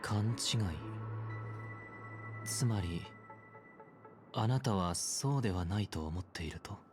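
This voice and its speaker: A man's voice: on the low side, lively intonation, lightly acted. A man playing a polite, formal, concerned hotel attendant in a video game. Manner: polite, formal, measured wording. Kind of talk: Japanese video game voice acting. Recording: game audio with loud music behind.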